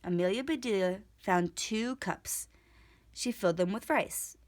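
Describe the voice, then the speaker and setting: A woman reading a storybook aloud for children in an expressive, deliberate storytelling manner. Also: medium pitch. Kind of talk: scripted storybook read-aloud for kids.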